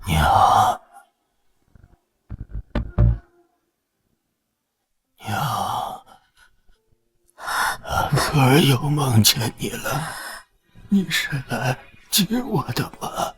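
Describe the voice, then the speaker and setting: A man's voice: high-pitched, very animated, measured pace, husky, big-room sound, exaggerated voice. An actor playing a frail, emotional old man full of longing in a period drama. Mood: tearful longing. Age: older. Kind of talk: Chinese period drama acting.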